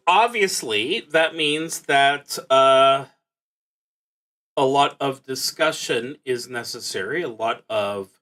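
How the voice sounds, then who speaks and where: Slow, musing man thinking aloud. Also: mid-range voice, fairly bright tone. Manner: halting and musing, trailing off into pauses. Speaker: solo roleplaying game streamer. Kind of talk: unhurried thinking aloud while playing a solo tabletop game.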